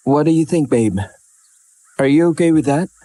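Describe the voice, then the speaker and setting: A man's voice: a little high, moderate pace, warm tone, no echo, lightly acted. A man playing a young hiker and protective boyfriend in an audio drama, switching into English. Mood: reassuring.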